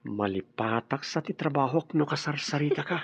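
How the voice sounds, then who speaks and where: A man's voice: a little high, moderate pace, vocal fry, slightly rough. A man playing an earnest, loving young groom in an Ilocano radio drama, with an Ilocano accent. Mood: affectionate.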